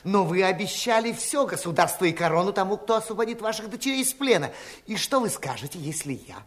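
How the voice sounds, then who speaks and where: A man playing a smooth-talking, scheming suitor prince in a storybook audio play, courtly and grandiloquent. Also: high voice, lively intonation, quick pace, a bit bright. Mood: sly persuasion. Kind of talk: theatrical storybook radio play acting.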